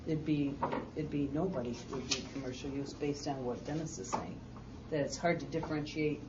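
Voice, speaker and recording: A woman's voice: a bass voice, normal speed. A plain-spoken woman leading an informal board meeting discussion and asking the others for their views. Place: meeting room. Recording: dull, noisy, distant meeting recording.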